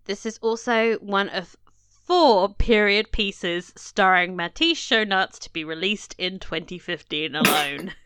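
A woman's voice: mid-range voice, unhurried, fairly bright tone, clean tone, no room sound. A woman from England co-hosting a podcast in a casual, chatty way, laughing and swearing now and then. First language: not French. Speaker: podcast co-host. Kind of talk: casual chat.

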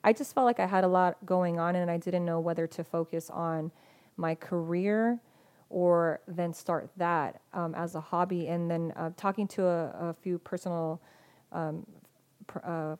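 Woman, podcast guest, casual talk, slightly low voice, hesitant, lots of fillers. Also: steady tone, measured pace, a little mellow, clear, resonant tone. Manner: hesitant, many fillers and restarts. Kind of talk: casual conversation.

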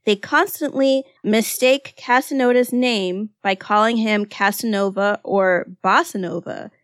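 A woman's voice: mid-range voice, a little slow, fairly bright tone, dry recording, studio quality. A woman in her thirties co-hosting a podcast in casual chat, laughing often and leaning on "like" fillers. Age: 30s. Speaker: podcast co-host.

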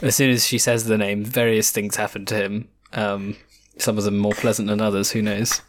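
A woman's voice: extremely low pitch, little pitch movement, normal speed, slight background noise. A woman co-hosting a podcast, chatting in a playful, informal way as if with a friend. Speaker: podcast co-host. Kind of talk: casual chat between friends.

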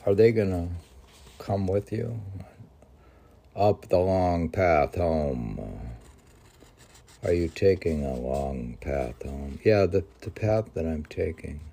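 A man's voice: deep voice, lively intonation, slow pace, vocal fry, pauses often, warm tone. A man reading poetry aloud and drifting into casual, musing asides in a conversational way. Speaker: poetry reader.

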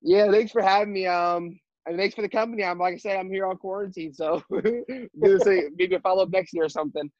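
A teenage high school quarterback with a high-pitched voice, answering interview questions earnestly with many fillers and restarts. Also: male voice, steady tone, a little mellow, no room sound. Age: teens. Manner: halting, filler-heavy, earnest. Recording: dull, muffled audio.

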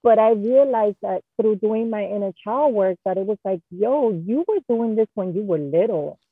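Queens woman 30s chatting candidly, husky-ish, tinny dry sound like a phone. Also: mid-range voice, moderate pace, no echo. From Queens, New York. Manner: candid, animated storyteller with slang. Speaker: guest interior designer. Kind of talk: casual conversational interview.

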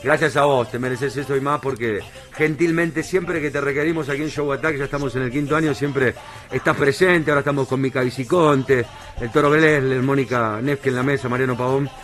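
A man's voice: medium pitch, brisk, a bit bright. A man with an Argentine accent hosting a show, presenting and interviewing with a theatrical, emphatic delivery. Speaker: radio or show host.

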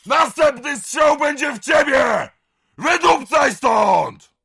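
A defiant shopkeeper in an audio drama, nervous but standing his ground. His very high, forceful voice is gravelly and strained, with stammered words. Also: lively intonation, slow pace, heavily acted. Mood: angry threatening. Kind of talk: radio drama acting.

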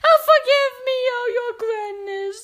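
A woman's voice: huge pitch swings, brisk, a bit bright, full character voice. A woman voicing a meek, frightened witch from a storybook who stammers and pleads with her leader. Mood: terrified pleading. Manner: meek, squeaky, stammering pleas.

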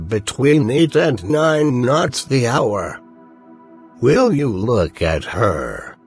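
An actor playing a respectful, cautious but eager boat pilot in a dramatized audiobook, with a slow, deferential delivery. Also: male voice, mid-range voice, very animated, breathy edge, exaggerated voice. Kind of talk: dramatized audiobook character acting. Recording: music behind the voice.

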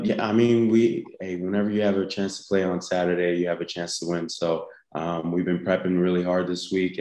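Young man, very deep voice, monotone, easygoing, hesitant, roomy echo. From United States. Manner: easygoing, halting, with many pauses. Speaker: guest, football player. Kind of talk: casual interview answers.

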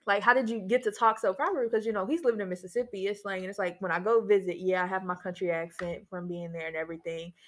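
A young woman speaks in a casual discussion at a middling pitch. She is conversational and emphatic, with an anecdotal way of explaining things. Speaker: panel participant.

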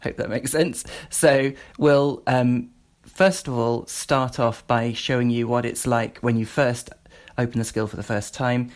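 Male British presenter, mid-pitched, casual demo style, hesitant, informal rambling. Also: fairly even intonation, a little slow. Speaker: presenter demonstrating a voice assistant skill. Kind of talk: casual spoken demonstration.

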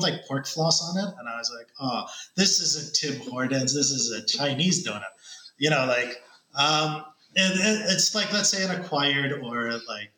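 A man's voice: mid-range voice, pushed and bright. A man with a Canadian accent telling stories casually as a podcast guest. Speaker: podcast guest, ed tech consultant. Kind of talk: casual conversational storytelling. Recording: roomy, echoing sound.

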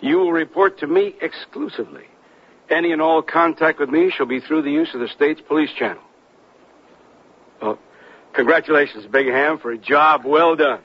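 Husky, genial governor. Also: male voice, fairly high pitch. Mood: official. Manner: measured, official, chuckling. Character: genial, authoritative male state governor, slyly amused. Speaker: radio drama actor. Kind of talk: 1970s radio drama acting. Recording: dull 1970s radio recording.

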